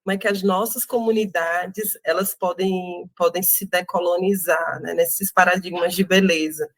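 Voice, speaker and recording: A woman's voice: on the low side, steady tone, no room sound. Thoughtful Northeastern Brazilian woman. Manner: thoughtful, many pauses and "né" tags. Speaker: interview guest. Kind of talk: reflective interview talk.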